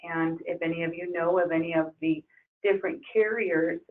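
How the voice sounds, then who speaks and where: A woman trainer losing her voice, leading an upbeat software webinar walkthrough. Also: lowish voice, fairly even intonation, normal speed. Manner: upbeat, enthusiastic promoting. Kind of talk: webinar screen-share walkthrough. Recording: tinny phone-like webinar audio.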